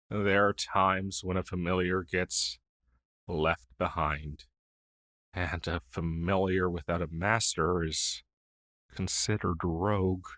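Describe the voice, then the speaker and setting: A man playing a gentle, wistful old crow familiar in an ASMR roleplay, speaking hesitantly and softly with sighs. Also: low voice, fairly even intonation, no room sound, studio quality. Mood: resigned. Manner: hesitant, halting, soft-spoken with sighs.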